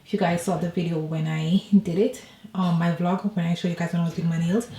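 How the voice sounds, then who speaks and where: A woman's voice: fairly low pitch, brisk. Chatty woman vlogging from an echoey room. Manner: chatty, rambling, relaxed talk to viewers. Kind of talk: casual personal vlog chat. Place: at home.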